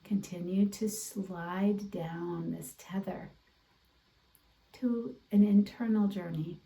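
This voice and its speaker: A woman instructor leading a guided meditation in a gentle, deliberate, encouraging way over an online video class. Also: on the low side, steady tone, rough recording. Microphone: away from the mouth, video call.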